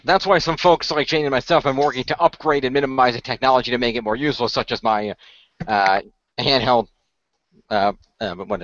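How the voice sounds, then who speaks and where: A man's voice: medium pitch, steady tone, brisk, a bit bright, dry recording, lo-fi sound, natural voice. A man playing an earnest, diplomatic ghost-hunting inventor in an improvised role-play, explaining things in a hesitant, conciliatory way. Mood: earnest, explanatory.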